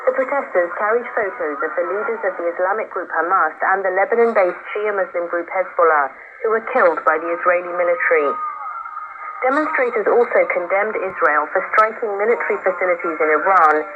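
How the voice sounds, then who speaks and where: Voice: medium pitch, brisk, phone-quality sound, background noise. A news reader delivering a scripted bulletin, heard over a shortwave radio broadcast.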